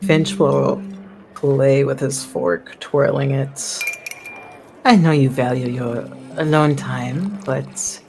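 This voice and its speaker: A woman's voice: extremely low pitch, steady tone, slow, darkish tone. A woman playing a tabletop roleplaying game, speaking in and about her character. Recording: voice over prominent background music.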